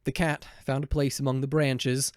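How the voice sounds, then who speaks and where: A man's voice: mid-range voice, flat delivery, normal speed, no room sound, studio quality. A man narrating a children's storybook as a voiceover performer.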